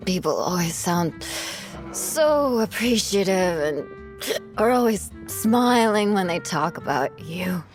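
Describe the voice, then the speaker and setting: A woman playing a tipsy young noblewoman knight in a video game, proud and guarded, with a halting, wistful manner. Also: lowish voice, lively intonation. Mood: tipsy, melancholy. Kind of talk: animated video game acting. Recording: soft game music behind the voice.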